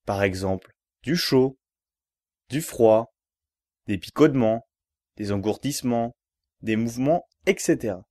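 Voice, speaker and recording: Slow-spoken man guiding relaxation. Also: medium pitch, lively intonation, a little mellow, no room sound, studio quality. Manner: measured, repetitive step-by-step instructions. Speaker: yoga nidra relaxation guide. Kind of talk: guided relaxation instruction.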